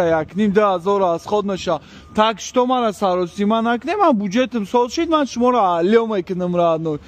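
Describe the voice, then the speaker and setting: A man from Tajikistan, a delivery driver vlogging casually, chatty and switching into halting broken English with strangers. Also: high voice. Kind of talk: casual street vlog.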